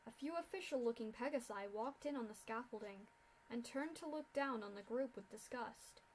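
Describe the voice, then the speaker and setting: Young woman reading a fanfic out loud, kinda high voice, steady. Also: normal speed, a little hiss or hum, low-quality recording. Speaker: fanfiction reader. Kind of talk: reading a story aloud.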